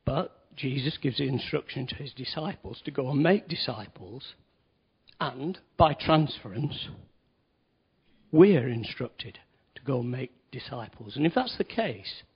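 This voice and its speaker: A man's voice: medium pitch, lively intonation, unhurried, slightly rough. A man giving a conversational sermon in a church hall, with wry asides and emphatic stresses. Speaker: lay preacher. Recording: dull lo-fi recording.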